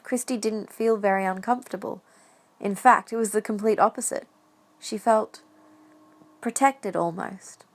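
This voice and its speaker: A woman narrates a fan-made audio drama. Her voice sits at an average pitch as she reads the scripted story.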